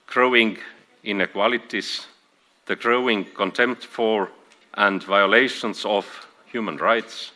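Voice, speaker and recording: A man from Estonia with an Estonian accent, an archbishop giving a measured, formal conference speech. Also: fairly low pitch, bright, forceful tone. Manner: measured, reads from prepared text with small restarts. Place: conference hall.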